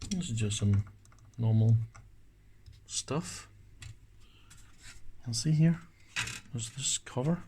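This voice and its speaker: Slow-paced man thinking aloud. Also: mid-range voice, animated, mellow. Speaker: host of an electronics teardown video. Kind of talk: casual hands-on commentary. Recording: clicks and faint background noise.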